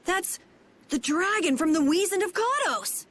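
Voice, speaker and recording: A woman voicing a brash, excitable, hot-tempered young girl in a game. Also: very high-pitched, unhurried, slight background noise, heavily acted. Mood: indignant shock. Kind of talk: English game dub acting.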